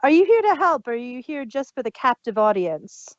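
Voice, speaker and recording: A woman's voice: high-pitched, big pitch swings, fairly quick, dry recording. A woman co-hosting a casual review chat, on a dull lo-fi recording. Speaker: podcast co-host reviewing audio dramas.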